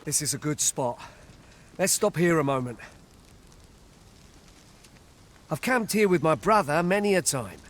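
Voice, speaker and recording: An earnest village guide from a fantasy game, protective of his brother. His voice sits a little high and. He speaks in plain, old-fashioned rustic phrases, with noisy ambience behind him. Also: very animated, moderate pace, fairly bright tone. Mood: concerned, purposeful. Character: worried village man, protective older-seeming brother and forest guide. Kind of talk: scripted fantasy game dialogue in archaic English.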